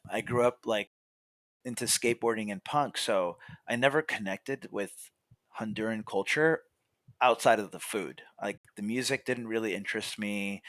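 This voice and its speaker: A young man, a podcast guest, telling a story in a relaxed way, often filling pauses with "you know" and "like". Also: on the low side, dry recording. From California, USA. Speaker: podcast guest, coffee roaster. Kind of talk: casual storytelling.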